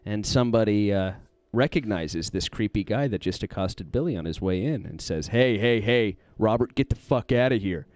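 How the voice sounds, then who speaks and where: A man's voice: fairly low pitch, lively intonation, normal speed, few pauses, darkish tone, no echo. A man co-hosting a casual talk podcast, telling rambling stories and teasing as he goes. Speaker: podcast co-host. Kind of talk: casual banter and storytelling.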